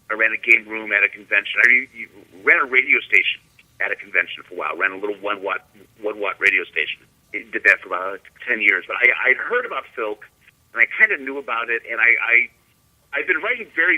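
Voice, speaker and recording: Low-voiced man on a dull lo-fi call. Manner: halting, repeats words. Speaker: guest musician. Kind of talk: casual rambling reminiscence. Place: remote call into the show.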